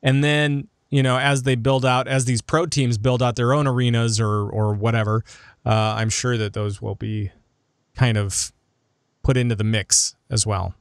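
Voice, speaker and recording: A man with a medium-pitched voice chats as a podcast panelist. The talk is informal and conversational, with a close, dry sound. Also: little pitch movement, normal speed, smooth, no echo. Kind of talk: casual chat.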